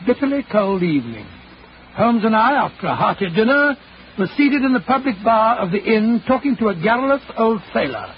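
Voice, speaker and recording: Older English gentleman storyteller, high voice, genial, deliberate, muffled vintage radio drama. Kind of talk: 1940s radio acting. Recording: muffled old radio broadcast, hissy.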